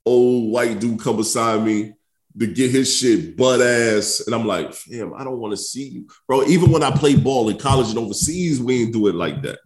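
A young man co-hosting a casual podcast, sounding stuffy and congested, laughing through crude banter and clearing his throat often. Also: medium pitch, moderate pace. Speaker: podcast co-host. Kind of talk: casual chat.

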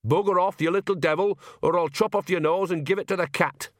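A man voicing a folksy old country witch in a storybook, chatty, blunt and emphatic, pitched a little up. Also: brisk, no room sound, studio quality, natural voice. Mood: wry, earthy.

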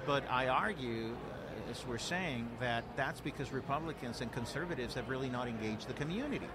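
A man's voice: mid-range voice, a little fast, heavy background noise. Earnest man from Puerto Rico outdoors. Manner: earnest, many fillers and repeated words. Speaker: guest, political director. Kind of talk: conversational interview. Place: outdoor event.

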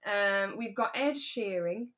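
A woman's voice: mid-range voice, slow, a bit bright. A woman talking casually as a podcast co-host, recapping and giving her opinions. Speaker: actor. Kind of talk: casual chat recapping a radio drama.

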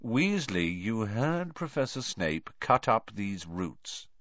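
A man's voice: lowish voice, sing-song, takes it slowly, a bit bright, dry recording, highs cut off, full character voice. A man voicing a sneering, spoilt schoolboy bully in an audiobook, with a drawling, mock-innocent whine. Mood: smug.

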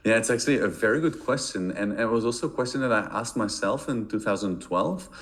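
Man from Belgium, fairly low voice, halting speech, repeats words, interview guest. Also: a bit bright. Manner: hesitant, many repeated words and fillers. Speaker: podcast guest, marketing director. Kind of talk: casual interview answers.

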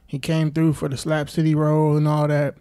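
Higher-voiced American man hosting an interview. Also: monotone, fairly quick, warm tone, no echo, clean studio sound. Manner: informal, slangy, conversational. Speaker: interviewer, show host. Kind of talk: casual interview.

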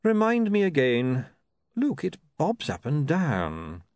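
A man voicing a calm, coolly ironic ruler of a fantasy city, measured and precise, in an audiobook. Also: on the high side, no echo, studio quality, pitched above the performer's own voice, exaggerated voice. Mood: mildly distracted.

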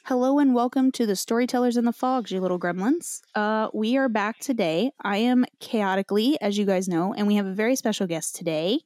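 A woman's voice: medium pitch, brisk, hardly any pauses, darkish tone, dry recording. Woman podcast host chatting casually. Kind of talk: casual interview chat.

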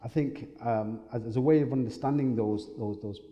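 A man speaking as an academic panelist, measured and hesitant, with frequent "kind of" and restarts. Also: medium pitch, muffled recording. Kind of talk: panel discussion. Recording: echoey hall.

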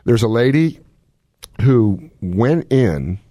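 A man's voice: mid-range voice, lively intonation, slow, mellow, no echo, clean studio sound. A man hosting a radio talk show, giving casual, folksy health advice with plenty of joking. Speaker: medical expert radio host.

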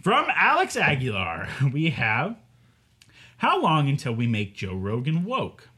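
Lively brash man on a podcast. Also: mid-range voice, measured pace, husky. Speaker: podcast co-host reading questions. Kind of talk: casual podcast chat.

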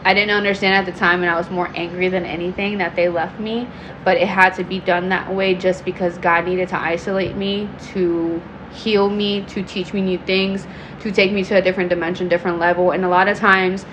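A woman co-hosting a podcast, talking earnestly and reflectively about her faith, often pausing on "um". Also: fairly low pitch, highs cut off, noisy background. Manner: earnest, reflective, frequent "um" pauses. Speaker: podcast co-host. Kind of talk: casual faith testimony chat.

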